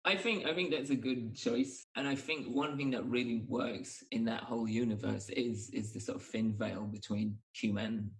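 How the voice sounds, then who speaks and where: A man's voice: mid-range voice, fairly even intonation, normal speed, few pauses, low-quality recording. Man hosting a casual film chat. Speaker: podcast host.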